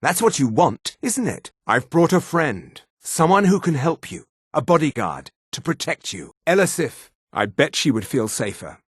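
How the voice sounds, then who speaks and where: An actor playing an arrogant, disdainful high elf mage in a video game, with an imperious, theatrical delivery and a raspy voice. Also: male voice, sing-song, normal speed, hardly any pauses, dry recording, studio quality. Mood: concerned, confiding. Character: haughty high elf mage, arrogant adult male supremacist. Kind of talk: video game character voice lines.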